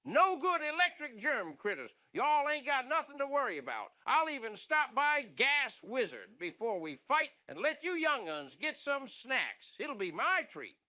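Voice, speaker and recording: High, bright Southern country fellow. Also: male voice, big pitch swings, normal speed, hardly any pauses, no room sound, heavily acted. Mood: folksy, reassuring. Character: folksy grown man who drives a van, protective. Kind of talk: comic dub character acting.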